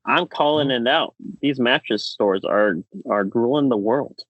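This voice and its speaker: A man's voice: medium pitch, measured pace, no room sound, muffled recording. A man hosting a casual podcast, bantering with playful, mock-outraged showmanship. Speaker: podcast host.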